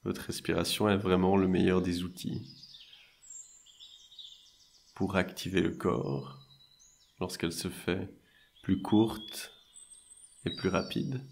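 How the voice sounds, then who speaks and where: A man guiding a meditation in a soothing, measured way, leaving long pauses between short phrases. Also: low voice, flat delivery, gravelly, a little hiss or hum. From French-speaking Switzerland. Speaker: meditation and hypnosis guide. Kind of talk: guided meditation narration.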